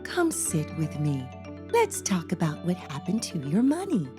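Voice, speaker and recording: A woman voicing a calm, reassuring mother who explains things gently and patiently in a children's storybook. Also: on the low side, measured pace, music in the background. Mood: gentle, inviting. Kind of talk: children's storybook character reading.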